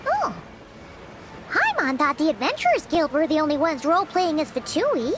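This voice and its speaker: Excitable little fairy, anime game, childlike, extremely high, wildly animated. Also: female voice, moderate pace, very noisy. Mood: chirpy, confident. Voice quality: childlike, squeaky. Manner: talks of herself in third person, exclamatory. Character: small chirpy excitable female fairy companion. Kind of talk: anime-style game voice acting.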